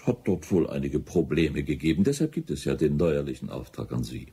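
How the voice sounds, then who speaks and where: A formal, officious colonial official in a radio drama. The voice is deep and mellow with a heavily husky grain, courteous in its phrasing. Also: male voice, sing-song, a little fast, heavily acted. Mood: courteous, businesslike. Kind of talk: German radio drama acting.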